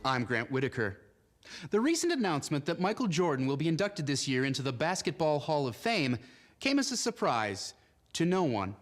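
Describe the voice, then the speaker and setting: A man playing an earnest newsmagazine reporter in a spoof, narrating formally and with a straight face. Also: a little high. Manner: formal, measured, deadpan. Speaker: reporter and interviewer. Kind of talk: scripted news narration.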